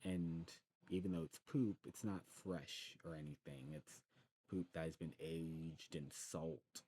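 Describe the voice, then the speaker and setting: A man's voice: sits low, fairly even intonation, slow pace, dark tone, slight background noise. A man doing an ASMR roleplay as a friendly, knowledgeable shopkeeper, chatting informally in a rambling, self-correcting way. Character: friendly demon snuff shop keeper, knowledgeable and enthusiastic. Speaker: ASMR performer playing a snuff shopkeeper. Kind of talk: ASMR shop roleplay, casual recommending chat.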